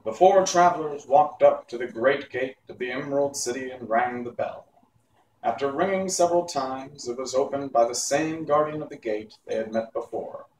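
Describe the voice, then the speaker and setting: A man's voice: medium pitch, normal speed. Man reading a storybook in an echoey room. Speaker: host and reader. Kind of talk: reading a children's novel aloud. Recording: echoey room, distant mic, faint noise.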